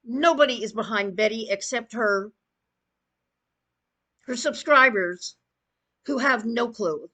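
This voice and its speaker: A woman's voice: fairly high pitch, slow, pauses often, pushed and bright. A woman giving an opinionated rant as an online commentator, blunt, emphatic and scornful in her delivery. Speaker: YouTube commentator.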